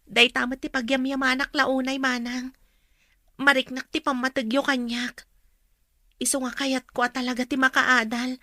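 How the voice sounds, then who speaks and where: A woman's voice: high voice, flat delivery, moderate pace, sharp tone, dry recording, studio quality. An actress playing an earnest, polite young woman student in a radio drama, speaking with a Filipino accent. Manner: polite, deferential. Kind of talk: Ilocano radio drama acting.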